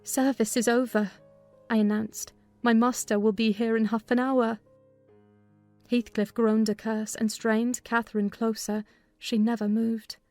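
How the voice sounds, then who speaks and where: A woman narrating a dramatized literary audiobook in a measured, expressive storytelling manner. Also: on the high side, no echo, soft background music.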